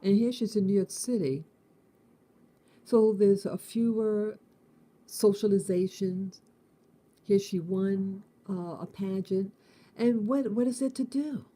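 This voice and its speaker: A woman in her late fifties from the United States with a deeply mellow, dark tone. She talks through a morning reflection as the host. She thinks aloud, restarting phrases and circling back on her words. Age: 50s. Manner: halting, repeats and restarts, musing. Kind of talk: casual spoken morning reflection.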